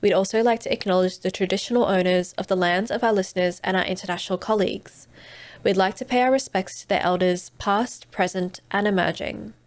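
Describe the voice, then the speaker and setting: A woman's voice: mid-range voice, fairly even intonation, a little fast, no echo. A woman hosting a podcast, reading a scripted intro and then chatting with enthusiasm and some hesitant fillers. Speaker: podcast host.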